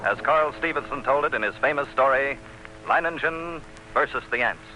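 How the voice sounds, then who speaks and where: A man's voice: mid-range voice, normal speed, heavy background noise. A man working as a radio announcer, reading a script in a dramatic, declamatory 1940s broadcast style. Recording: dull old radio recording.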